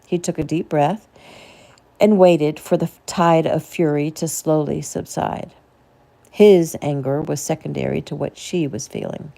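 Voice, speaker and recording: A woman's voice: low-pitched, fairly even intonation, unhurried, vocal fry, dark tone, poor audio. A woman reading her own novel aloud as a casual audiobook narration.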